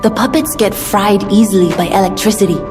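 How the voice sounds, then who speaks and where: Game role: woman as practical young female tinkerer, mid-pitched, matter-of-fact, long reverb, music behind. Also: brisk, close to an everyday voice. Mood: helpful, upbeat. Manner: earnest, conversational, matter-of-fact. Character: kindly, practical young woman weapons craftswoman. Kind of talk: video game dialogue acting.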